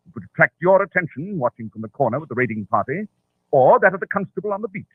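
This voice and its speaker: An actor playing a cool, clever gentleman detective with an urbane, clipped manner in a 1940s radio drama. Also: on the high side, sing-song, fast, slightly rough, no echo. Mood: self-satisfied. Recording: tinny, dry vintage radio recording.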